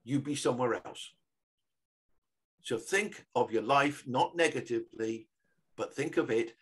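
A man's voice: medium pitch, measured pace, fairly bright tone, breathy edge. An older Englishman speaking earnestly and encouragingly as a guest on a video call. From England. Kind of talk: motivational conversation on a video call.

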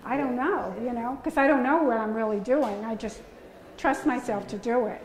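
A woman's voice: on the high side, normal speed, a little hiss or hum. Chatty older Bronx woman in a roomy gallery. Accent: Bronx New York. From the Bronx, New York. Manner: chatty, laughing often, rambling with restarts. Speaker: artist giving a talk. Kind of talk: audience Q&A. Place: museum gallery before a seated audience. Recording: roomy gallery with faint ambience.

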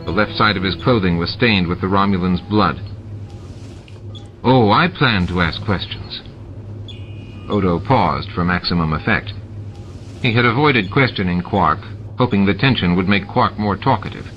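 A man reading a science fiction novel aloud in a steady, measured way. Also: low-pitched, slight background noise. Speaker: amateur audiobook reader. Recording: dull lo-fi recording with music.

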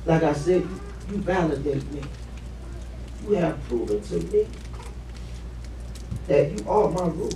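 A man playing an earnest, needy husband in a stage play, opening up haltingly to his wife. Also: a little high, dark tone, natural voice. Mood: tender, coaxing. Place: living-room stage set indoors. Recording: roomy, distant camera mic, background noise.

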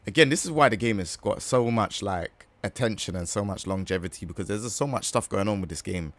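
A man's voice: fairly low pitch, fairly quick, fairly bright tone, no room sound. A young man casually reacting to a video game from a small room, with slangy, easygoing chatter. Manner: laid-back slangy chatter with frequent laughs. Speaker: gaming streamer reacting to a game.

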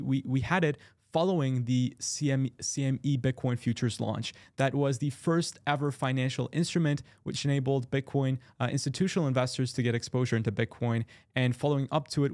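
A young man with a slightly sore throat, walking through trading charts in quick, casual talk with many fillers and restarts, close to a studio mic in a small room. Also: medium pitch, a little mellow, no echo. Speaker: crypto chart analyst. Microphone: large studio mic close in frame.

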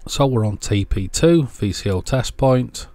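A man narrating a hands-on electronics demonstration as host, explaining step by step in a measured way. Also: mid-range voice, animated, mellow, dry recording. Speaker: host of an electronics repair channel.

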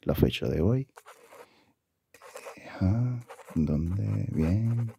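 A man speaking softly and affectionately in an intimate ASMR boyfriend roleplay, with a husky, gravelly voice and an unhurried manner. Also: on the low side, animated, highs cut off. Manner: soft-spoken, affectionate, unhurried.

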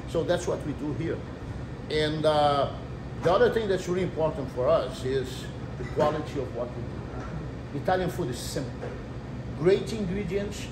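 Animated middle-aged man explaining eagerly. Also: fairly high pitch, moderate pace. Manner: animated, gestures a lot, explains at length. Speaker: restaurant owner. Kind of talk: casual interview. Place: restaurant interior. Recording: echoey room with ambient noise.

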